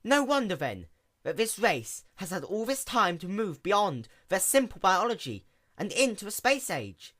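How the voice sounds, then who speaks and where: A woman puts on a young sea pony mechanic for a storybook reading. She is nerdy and plain-spoken, explaining in a bright, raised voice with lively pitch movement.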